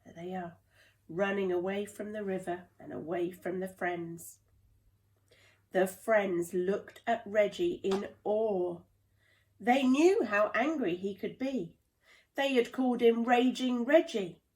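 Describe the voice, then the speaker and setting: A woman reading a children's storybook aloud in a deliberate, dramatic storytelling manner. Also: mid-range voice, a little mellow. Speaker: play therapist reading a children's book. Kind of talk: expressive children's storybook narration.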